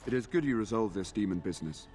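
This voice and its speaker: Dutiful formal knight acted by a man, lowish voice, grave, fantasy game, music behind. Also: animated, quick pace, darkish tone, close to an everyday voice. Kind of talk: fantasy video game acting.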